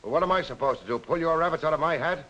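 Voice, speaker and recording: An actor playing a gruff, sarcastic, impatient police lieutenant in a 1940s film. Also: male voice, a little high, very fast, sharp tone. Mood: irritated. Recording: very noisy old 1940s film soundtrack.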